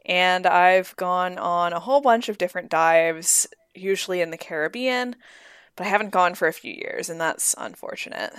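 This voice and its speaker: Woman podcast host, casual and friendly, lower-pitched voice, dry close mic. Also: moderate pace, a bit bright, smooth, dry recording. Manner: friendly, laughs often, a few ums. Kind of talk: casual chat.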